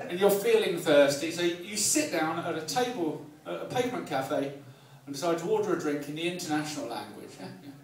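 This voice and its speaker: A man in his forties with a southern English accent, doing live stand-up comedy in a wry, self-deprecating way with halting, repeated phrases. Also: fairly high pitch, lively intonation. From Kent, England. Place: live comedy night in a pub venue. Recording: echoey club, distant mic, audience noise. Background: audience laughter.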